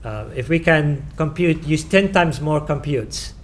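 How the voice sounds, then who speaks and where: A man's voice: fairly high pitch, a little slow. A man with a South African accent answering audience questions at a tech talk, hesitant, with many "um"s and restarts. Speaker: conference presenter answering questions. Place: conference room.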